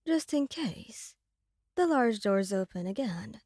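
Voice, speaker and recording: A woman's voice: a little high, lively intonation, slow pace, darkish tone, dry recording, clean studio sound. A woman giving dramatic, scripted second-person narration for an ASMR audio roleplay.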